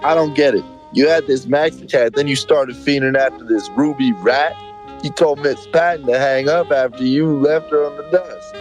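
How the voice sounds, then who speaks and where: A man's voice: medium pitch, moderate pace, faint music behind, lightly acted, poor audio. A man playing a blunt, slangy, reproachful confidant in an amateur radio drama.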